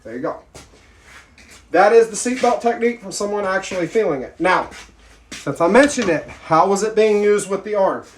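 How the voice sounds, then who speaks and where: A man's voice: high-pitched, animated, normal speed, slight background noise. A man giving a blunt, plainspoken self-defense lesson in a casual way, asking his training partner questions as he demonstrates. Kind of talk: casual instructional demo. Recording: echoey room, mic far off.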